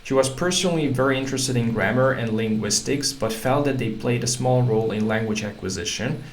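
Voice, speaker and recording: Halting man speaking in an echoey room. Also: mid-range voice. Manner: halting, many fillers and restarts, non-native English. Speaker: language-learning channel host. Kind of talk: casual talk to camera, reading quotes aloud. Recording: echoey room with faint ambient noise.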